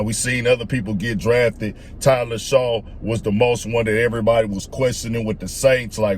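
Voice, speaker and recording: A man's voice: mid-range voice, a little mellow. Slangy male commentator, faint background noise. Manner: slangy, repetitive emphatic phrasing. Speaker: sports commentary host. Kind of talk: casual opinionated commentary.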